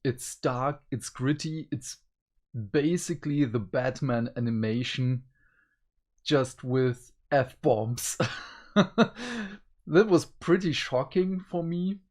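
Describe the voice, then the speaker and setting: A man's voice: mid-range voice, takes it slowly, long pauses, a little mellow. A man co-hosting a review show in casual chat, speaking hesitantly as he searches for words. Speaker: co-host of an animation review show.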